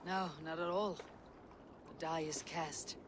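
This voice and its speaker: A woman's voice: deep voice, steady tone, slow pace, noisy background. An actress playing a proud young Viking warrior woman in a video game, speaking solemnly in poetic phrases. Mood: resolute. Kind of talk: video game cinematic acting.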